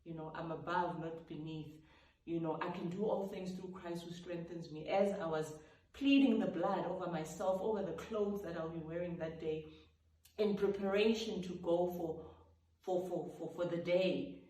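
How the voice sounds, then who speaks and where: A woman's voice: on the low side, fairly even intonation, moderate pace, warm tone. A woman, a gospel singer-songwriter telling the story behind her song in a reflective, casual way. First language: Sotho. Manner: reflective, breaks into sung snippets and vocal sounds. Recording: echoey room, mic at a distance.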